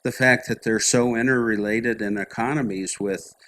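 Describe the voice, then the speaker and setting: A man's voice: medium pitch, fairly even intonation, normal speed, fairly bright tone, a little hiss or hum. A male moderator asking a long, rambling question in a conversational manner.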